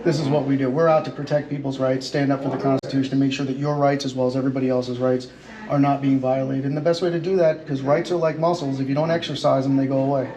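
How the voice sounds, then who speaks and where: A man's voice: mid-range voice, level tone, fast talker, warm tone, low-quality recording. A middle-aged man from Long Island, New York, chatting casually as a talkative, polite and emphatic vlog host. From Long Island, New York, USA. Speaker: host of civil-rights audit vlog. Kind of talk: casual chat with people he meets. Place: post office and its outdoor car park. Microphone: camera rig held at chest or face. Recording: clear outdoor and background noise.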